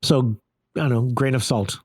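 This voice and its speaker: A man's voice: medium pitch, moderate pace, over music. Casual man reviewing audio gear. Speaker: product reviewer.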